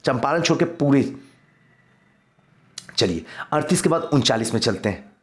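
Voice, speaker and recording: A man's voice: medium pitch, lively intonation, normal speed. A man teaching exam history in a quiz lesson, reading out questions and explaining answers, repeating key points for emphasis.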